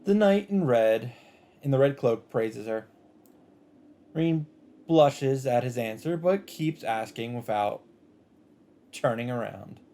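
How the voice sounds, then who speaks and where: A man reads visual novel narration aloud as a let's play narrator. His voice sits at a middle pitch, with a measured storytelling delivery. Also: lively intonation, dark tone.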